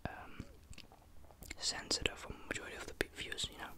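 A teenage man, whispering a rambling, casual chat into a close studio mic in a small bedroom. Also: fairly quick. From Netherlands. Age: teens. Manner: casual, rambling, with many fillers. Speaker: ASMR YouTuber. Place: small bedroom with a sloped ceiling. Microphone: large studio mic close on the desk.

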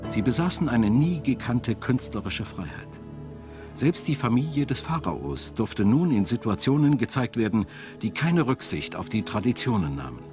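A man's voice: medium pitch, very animated, moderate pace. A man reading scripted narration for a documentary as a studio voice-over. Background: music.

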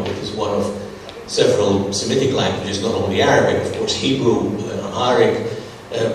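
A middle-aged man speaks on stage at a conference, pausing often with fillers and restarts. He sounds far from the recorder, and the sound rings around a big, reverberant hall. A faint hum sits behind him. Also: fairly low pitch, darkish tone. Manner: hesitant, many fillers and restarts. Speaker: conference presenter. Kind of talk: conference Q&A discussion. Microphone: stage mic, recorded from far off.

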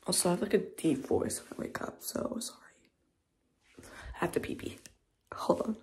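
A teenage girl filming a casual vlog on her phone, talking to viewers in a hushed morning voice and later out in the snow. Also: lowish voice, moderate pace. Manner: whispery early-morning talk, bubbly and excited outdoors. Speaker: vlogger. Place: at home, then outdoors in snow. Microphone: handheld phone.

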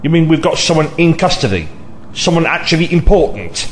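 A man's voice: on the high side, very animated, brisk, slight background noise. A man playing a keen, blunt police investigator in an audio drama, with an informal manner. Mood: excited. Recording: loud music over the voice.

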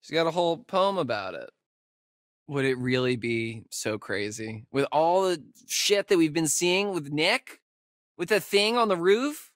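A man's voice: on the high side, expressive, a little slow, fairly bright tone, no echo, clean studio sound. A young man with an American accent acting a wisecracking, nervy camp counselor in a video game, with casual, hesitant delivery. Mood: insistent.

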